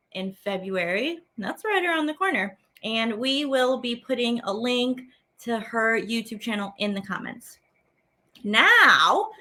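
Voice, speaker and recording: A woman hosting a children's arts lesson as a teaching artist, expressive, stretching words for emphasis as she explains and reads aloud. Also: high voice. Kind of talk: animated children's lesson and poem reading.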